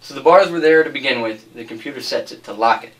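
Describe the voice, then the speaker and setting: A man's voice: mid-range voice, quick pace, far from the mic. A man interviewing in a conversational, probing way, recorded slightly away from the mic.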